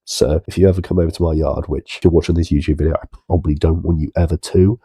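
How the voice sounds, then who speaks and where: Give me a young man with a gravelly, extremely low voice, drowsily mumbling crude jokes from his bed. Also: fairly even intonation, fast, warm tone, no echo, studio quality. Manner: mumbled, drowsy and self-mocking. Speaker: streamer reacting to a video. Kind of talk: crude casual reaction commentary. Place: bedroom, in bed.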